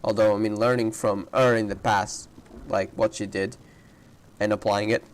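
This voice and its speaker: A man's voice: lowish voice, level tone, normal speed, a little hiss or hum. Casual male gamer thinking aloud. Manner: thinks aloud in rambling asides. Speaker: gamer reacting to a story playthrough. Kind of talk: casual playthrough reaction commentary.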